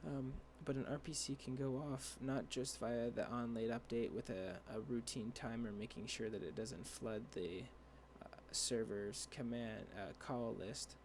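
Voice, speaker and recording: A young man talks through a screen-share coding tutorial, stopping and restarting often and padding his sentences with fillers. His voice is dry and gravelly at an ordinary pitch. Faint room hum sits underneath. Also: steady tone. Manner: rambling, halting with many fillers and restarts. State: dry voice, clearing throat. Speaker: tutorial creator.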